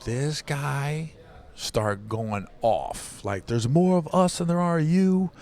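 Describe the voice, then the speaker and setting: A man's voice: a little high, slow pace. A man, a comedian guest, telling stories in casual podcast chat, acting out quotes and often checking in with "right?". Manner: animated storyteller, acts out quotes, says "right?" often.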